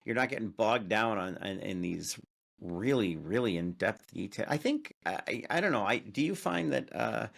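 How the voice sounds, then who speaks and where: A man from Illinois hosting a casual interview, talking in a halting way with many fillers and restarts. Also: on the low side, expressive, vocal fry, fairly bright tone. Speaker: interview host.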